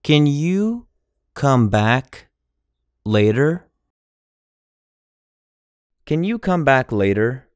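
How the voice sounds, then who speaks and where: A man's voice: medium pitch, sing-song, extremely slow, long pauses, smooth, no echo, studio quality. A man with a General American accent reading English phrases for learners, saying each one normally, then word by word. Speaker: English teacher. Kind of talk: slow language-drill reading.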